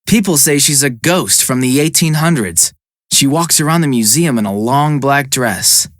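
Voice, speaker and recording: A woman's voice: extremely low pitch, lively intonation, normal speed, dry recording, studio quality. A woman voicing a curious boy who likes spooky stories and talks in a plain, curious way. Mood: storytelling.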